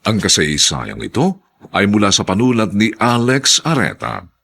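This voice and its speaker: A man announcing and narrating a radio drama from a script, theatrical and solemn with drawn-out emphasis. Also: lowish voice, wildly swooping pitch, vocal fry, fairly bright tone.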